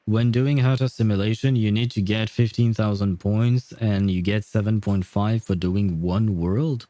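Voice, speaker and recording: A man's voice: lowish voice, steady tone, moderate pace, dark tone, dry recording. A man hosting a casual gaming livestream, chatting with viewers in a rambling, hesitant way. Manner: hesitant, rambling, chuckling often. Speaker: livestream host.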